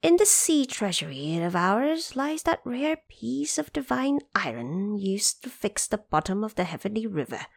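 A woman voicing a shrewd, composed Dragon Queen with a measured, persuasive manner, in an audio drama. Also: mid-range voice, big pitch swings, vocal fry, dry recording, studio quality, full character voice.

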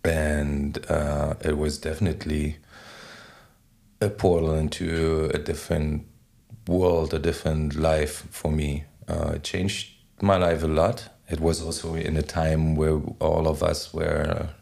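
A man in his forties with a German accent, giving halting, reflective answers as an interview guest, often searching for words. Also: rumbling low, flat delivery, vocal fry, darkish tone. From Berlin, Germany. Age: 40s. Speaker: podcast guest, DJ.

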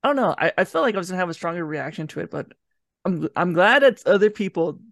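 A man with a fairly high voice and plenty of vocal fry chats casually on a podcast. He sounds easygoing and a little hesitant as he shares opinions, and the recording is dry and close. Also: lively intonation, quick pace, fairly bright tone, no echo. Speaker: podcast co-host. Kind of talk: casual movie review chat.

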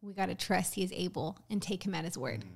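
A woman's voice: on the low side, lively intonation, quick pace, vocal fry, husky. A woman in her twenties, a podcast guest, speaking encouragingly about faith in casual talk. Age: 20s.

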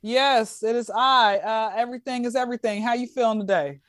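Southern American woman, comedian on a casual call from home, animated and chatty, higher voice, dry close sound. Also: a little fast, dry recording. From Atlanta, Georgia, USA. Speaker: guest comedian and podcast host. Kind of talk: casual video chat. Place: indoors at home.

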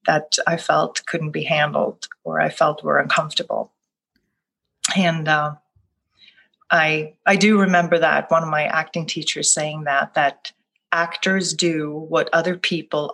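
A Swedish-born woman whose first language is Swedish speaks in a low, unhurried register. She is a middle-aged life coach who tells her story thoughtfully in an interview, often circling back and restarting her phrases. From Sweden. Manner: soft-spoken, thoughtful, with frequent restarts. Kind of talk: reflective interview answer.

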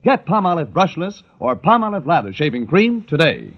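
A man's voice: a little high, big pitch swings, moderate pace, loud music behind the voice. A man reading a 1940s radio commercial in an emphatic, scripted sales style. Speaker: commercial announcer. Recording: muffled old radio recording.

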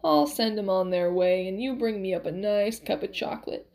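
A woman's voice: medium pitch, moderate pace, vocal fry, darkish tone, close to an everyday voice. A woman voicing a cranky, stubborn old man who haggles and grumbles in an audiobook story, brusque and colloquial. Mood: grumbling.

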